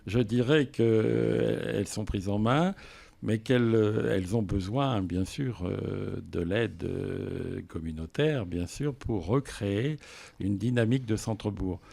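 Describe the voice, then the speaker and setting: A man speaks as a local official being interviewed. His voice is fairly low and mellow, with marked fry. He explains in a measured, halting way, stopping often to find the next phrase.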